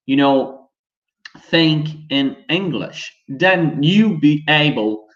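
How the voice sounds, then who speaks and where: A man's voice: mid-range voice, a bit bright. A young adult man with a Brazilian Portuguese accent teaching a live online English class, chatty and full of fillers. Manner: chatty, many fillers like "you know". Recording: dull lo-fi stream audio.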